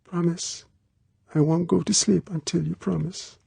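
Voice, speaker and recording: Man voicing a soft breathy coaxing young woman. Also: on the high side, steady tone, measured pace, dry recording, voice pushed up, exaggerated voice. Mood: insistent. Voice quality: small soft breathy voice, near whisper. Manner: pleading, intimate. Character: young woman, sweet, coaxing, childlike and stubborn.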